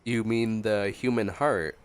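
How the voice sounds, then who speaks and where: A man's voice: on the low side, takes it slowly, clean tone. A man giving casual, chatty, playful reaction commentary as a gaming streamer. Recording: game music behind the voice. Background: game music.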